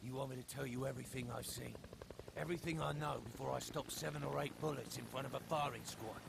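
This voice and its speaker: An actor playing a bitter, defiant British soldier in a video game, gruff and sardonic with a gravelly voice. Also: male voice, medium pitch, steady tone, fast, background noise. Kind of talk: video game cutscene acting. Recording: loud music behind.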